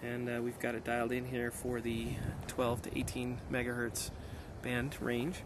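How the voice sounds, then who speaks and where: A man in a casual hands-on demonstration, talking in an unhurried, explanatory way as an amateur radio operator outdoors. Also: mid-range voice, completely flat delivery. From United States. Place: outdoors on a mountainside. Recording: noisy outdoor camera recording. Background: outdoor ambient noise.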